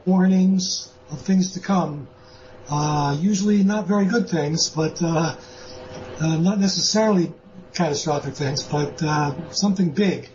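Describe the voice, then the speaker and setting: A man being interviewed as a guest author, speaking in a measured, hesitant way with many pauses. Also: a little high, muffled recording, background noise. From born in Austria, lives in the US. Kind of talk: interview answers.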